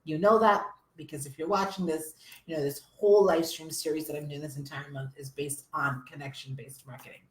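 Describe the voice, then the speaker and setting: Hoarse woman coach streaming live. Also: deep voice, brisk, echoey room, low-quality recording. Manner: energetic, chatty, addresses viewers as you guys. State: hoarse from a summer cold. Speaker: business coach. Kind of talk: live stream teaching to viewers.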